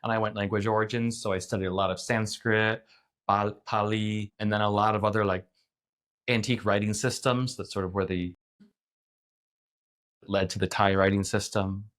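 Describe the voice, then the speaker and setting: Middle-aged guy on a podcast, lowish voice, rambles and restarts a lot. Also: level tone, normal speed, a bit bright. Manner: thoughtful, rambling, frequent restarts and "like". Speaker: podcast guest. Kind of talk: casual conversational interview.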